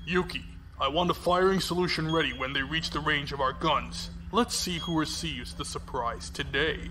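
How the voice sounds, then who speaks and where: A man playing a composed, disdainful fleet commodore in an audio drama, with a measured, formal, commanding delivery. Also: fairly high pitch, background noise, lightly acted. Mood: cool disdain.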